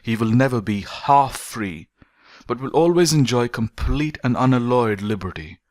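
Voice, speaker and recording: A man reading a philosophical essay as an audiobook narrator, in measured, deliberate phrases with emphatic stresses. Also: medium pitch, lively intonation, darkish tone, no room sound, clean studio sound.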